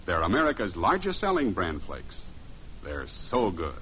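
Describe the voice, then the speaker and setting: Very deep, persuasive radio pitchman. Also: huge pitch swings, moderate pace, fairly bright tone. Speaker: radio commercial announcer. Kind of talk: 1950s scripted radio advertising. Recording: muffled old radio recording, faint hiss.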